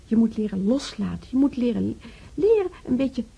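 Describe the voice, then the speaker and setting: An actress playing a pious, chattering widowed church volunteer in a radio drama, stammering and repeating herself with a husky, breathy voice. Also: female voice, fairly high pitch, very animated, normal speed, dark tone, dull audio, heavily acted. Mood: preachy. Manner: rambling, stammering, repeats herself, earnestly preachy. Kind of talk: naturalistic radio drama dialogue.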